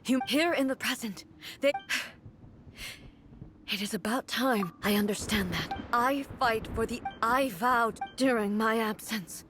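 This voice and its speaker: A woman's voice: a little high, slow pace. A woman playing a solemn, imperious immortal warrior ruler in a video game, speaking in a stately, formal, commanding manner. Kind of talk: dramatic video game voice acting. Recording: loud music behind the voice.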